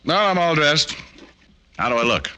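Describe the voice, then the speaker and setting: An actor playing a wisecracking, cynical American private detective with a wry manner in an old radio drama. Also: male voice, a little high, very animated, moderate pace, bright, forceful tone, clear, resonant tone, full character voice. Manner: wry, sardonic. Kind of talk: 1940s radio drama acting with narration.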